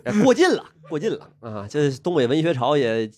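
A man's voice: on the high side, sing-song, brisk. A man from Northeast China co-hosting a podcast, joking at his own expense and chuckling through his words. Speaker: podcast co-host. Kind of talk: casual chat.

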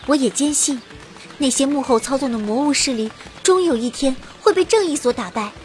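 A woman's voice: high voice, brisk, a little mellow, faint music behind, lightly acted. A woman playing a sincere, hopeful young adventurer heroine in an audio drama, speaking earnestly. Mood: hopeful, resolute. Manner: earnest, heartfelt. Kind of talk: audio drama acting with narrated monologue.

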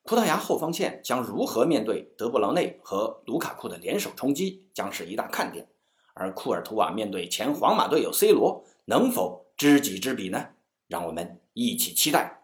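A man's voice: fairly low pitch, big pitch swings, moderate pace, roomy sound. A man hosting a football show, delivering a scripted match recap in a commentary style. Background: music.

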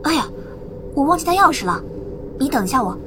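A woman playing an impatient, hurried mother in a radio drama. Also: sits high, sing-song. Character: impatient young mother rushing off to work. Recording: faint background noise, vehicle ambience.

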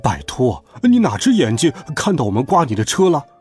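A man voicing a tiny, fussy father in a children's story, reasoning patiently with many drawn-out fillers. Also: medium pitch, wildly swooping pitch, darkish tone, faint music behind. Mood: coaxing.

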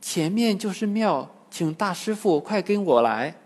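A man doing a timid, high young maiden. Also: expressive, measured pace, darkish tone. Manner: timid, pleading. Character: timid young woman, a disguised demon. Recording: long reverb.

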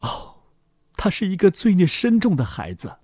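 A man's voice: high-pitched, wildly swooping pitch, normal speed, darkish tone, husky, no room sound, clean studio sound, pitched above the performer's own voice, exaggerated voice. A man voicing a respectable, sanctimonious master in a fairy tale, sighing and moralizing. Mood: disapproving. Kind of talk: storybook character acting.

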